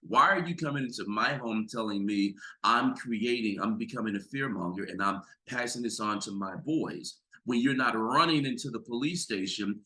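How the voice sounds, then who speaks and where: American guy with a deep voice, psychologist on a podcast, gets fired up and emphatic. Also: bright, forceful tone, poor audio. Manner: emphatic, building with rising intensity. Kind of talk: impassioned conversational talk.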